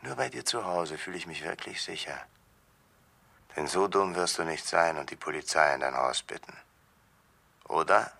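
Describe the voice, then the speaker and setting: An actor playing a bitter, mocking escaped convict out for revenge in a radio crime drama, sardonic and deliberate, with a gravelly voice. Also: male voice, sits low, bright, forceful tone, heavily acted. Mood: cold, threatening.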